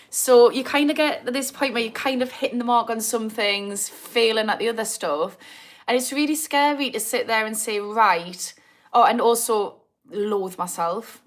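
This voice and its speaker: A woman's voice: mid-range voice, a bit bright. Chatty Geordie woman on a livestream. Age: 30s. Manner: chatty, self-mocking, laughs often. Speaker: livestream host answering viewer comments. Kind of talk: casual live chat.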